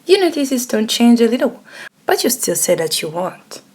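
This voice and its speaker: A woman's voice: on the high side, very animated, normal speed, a little mellow. A woman narrating a scripted ASMR roleplay story, addressing the listener directly. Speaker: narrator and voice actor.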